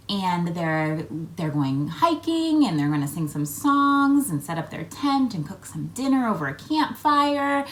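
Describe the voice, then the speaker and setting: A woman with a mid-pitched voice reads a children's picture book aloud. She leans on words expressively and chats directly to young listeners. There is faint noise behind her. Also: fairly bright tone. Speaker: read-aloud host for children. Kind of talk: picture book read-aloud with chatty asides.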